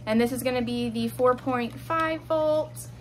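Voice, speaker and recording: A woman's voice: sits high, a little slow. A woman in her thirties giving a chatty, instructive product demonstration to camera, often checking in with the listener. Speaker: product reviewer. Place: small indoor room at home, seated.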